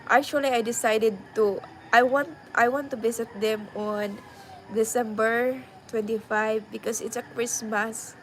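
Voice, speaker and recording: A woman's voice: fairly high pitch, steady tone, unhurried, a little hiss or hum, low-quality recording. A young adult woman with a Filipino accent, chatting casually to her viewers and switching between English and Tagalog. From Philippines. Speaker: vlogger.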